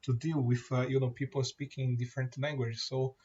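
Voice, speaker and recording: Male presenter, native Portuguese speaker, demoing software, mid pitch, halting delivery, muffled recording. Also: flat delivery, slightly rough. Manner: halting, many fillers and restarts. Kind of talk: screen-share product demo.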